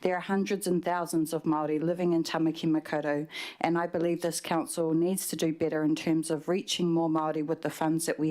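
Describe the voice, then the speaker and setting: A woman's voice: low voice, darkish tone. A young woman councillor reading prepared remarks in a council chamber, mixing in Māori phrases. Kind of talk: formal council debate speech. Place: large council chamber indoors. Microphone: desk microphone.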